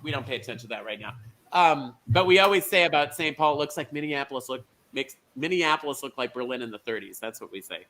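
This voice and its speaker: A man hosting an online bingo show, teasing his guests with quick, campy asides. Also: medium pitch, expressive, sharp tone.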